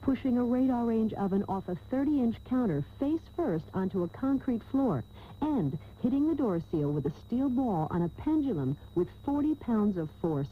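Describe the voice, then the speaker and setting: A woman's voice: a little high, very animated, moderate pace, narrow, tinny audio. An American woman announcing a scripted commercial on an old radio broadcast tape. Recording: phone-thin old radio tape, faint hiss.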